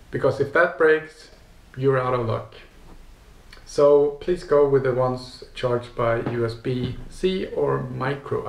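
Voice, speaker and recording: A man's voice: mid-range voice, vocal fry, a little mellow, reverberant. A man explains products casually to viewers, hesitating and repeating himself as he goes. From Sweden. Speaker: video host and gear reviewer.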